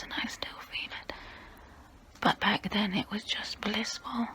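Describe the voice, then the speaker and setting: Woman acting as centuries-old female vampire, breathy whisper, unhurried pace, intimate ASMR roleplay, guilty, wistful, tempting. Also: mid-range voice, bright, forceful tone. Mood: wistful reminiscence. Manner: slow, intimate, deliberate delivery.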